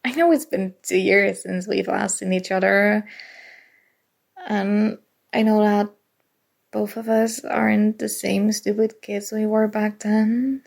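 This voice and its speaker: A woman's voice: mid-range voice, fairly even intonation, slow pace, vocal fry, darkish tone. A woman acting as a nerdy, flustered college student in an intimate ASMR roleplay, speaking hesitantly and emotionally with stammers. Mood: resigned, shyly hopeful. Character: nerdy, flustered young female college student confessing a crush.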